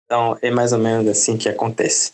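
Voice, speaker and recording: A man from the Democratic Republic of the Congo talks through his experiences in an easygoing interview. His first language is French, and his delivery is unhurried and explanatory. He often checks in with his listener with small tags. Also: mid-range voice, poor audio. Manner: relaxed, explanatory, often adds "né?" and "entende?". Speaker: podcast guest. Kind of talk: conversational interview.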